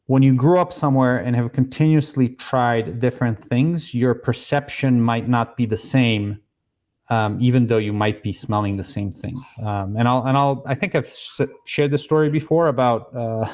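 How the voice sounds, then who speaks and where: A man's voice: medium pitch, moderate pace, a little mellow. A man from Serbia in his thirties, a guest on a podcast, explaining and telling stories with frequent restarts. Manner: explanatory, storytelling, many restarts. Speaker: podcast guest, cigar maker. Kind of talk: casual podcast conversation.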